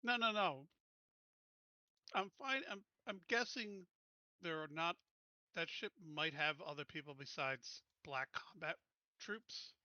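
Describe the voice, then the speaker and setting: Guy player talking tactics in a tabletop game, really sharp bright voice, dry lo-fi mic. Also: on the high side, slow, pauses often, no echo. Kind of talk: casual game planning talk.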